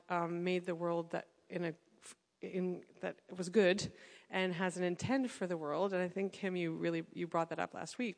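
A woman with a low, gravelly voice, speaking hesitantly and thoughtfully as she leads a sharing time at church. Her voice carries a long echo from the hall around her. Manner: hesitant, thoughtful, many fillers. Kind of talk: casual congregational talk.

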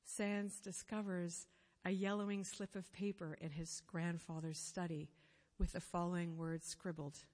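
A woman giving a sermon, telling a story in an earnest, measured way, pausing phrase by phrase. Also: on the low side, flat delivery, a little mellow. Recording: long reverb of a hall.

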